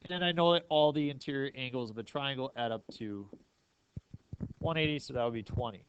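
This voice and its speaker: A man's voice: medium pitch, a little fast, muffled recording, a little hiss or hum. A man teaching a math class step by step, often checking understanding with questions. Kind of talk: classroom lesson, explaining step by step.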